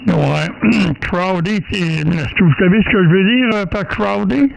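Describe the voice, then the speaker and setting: A man's voice: high voice, takes it slowly, creaky. A man with a Canadian French accent chatting casually as a radio operator, hesitant and often repeating himself while he searches for words. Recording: noisy amateur radio audio.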